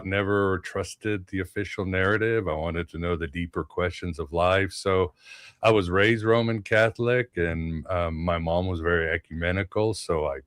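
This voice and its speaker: Man from portugal and mexico, real low voice, dry close sound, easygoing and thoughtful. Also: clear, resonant tone, dry recording. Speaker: podcast host and guest. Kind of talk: casual interview talk.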